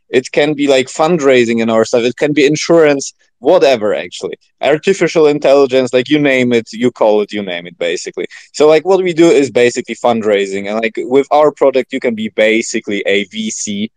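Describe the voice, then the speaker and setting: A man talking as a podcast guest in casual, informal chat, rambling and halting with many filler words. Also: mid-range voice, a little mellow, dry recording. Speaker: podcast guest, project founder.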